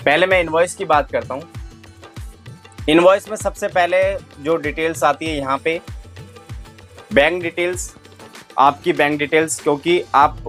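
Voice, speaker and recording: Male trainer, Indian accent, voice on the higher side, step-by-step tutorial delivery peppered with English trade terms, music bed underneath. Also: a bit bright. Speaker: freight forwarding trainer. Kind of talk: instructional tutorial explanation.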